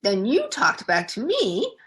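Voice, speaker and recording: An innocent little girl from a storybook, played by a woman reader. She is earnest, friendly and takes everything literally, speaking matter-of-factly with an animated, swinging pitch. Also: slow, heavily acted.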